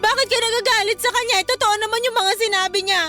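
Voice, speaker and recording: A woman's voice: very high voice, steady tone, quick pace, pushed and bright. A woman playing an angry, reproachful young Filipino wife and mother in a radio drama, scolding bluntly and insistently. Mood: hurt, reproachful. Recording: music bed behind the voice.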